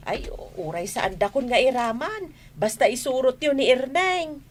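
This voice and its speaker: A woman's voice: sits high, big pitch swings, moderate pace, slight background noise. A woman with an Ilocano accent playing a caring motherly village elder in a radio comedy drama. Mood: reassuring, insistent.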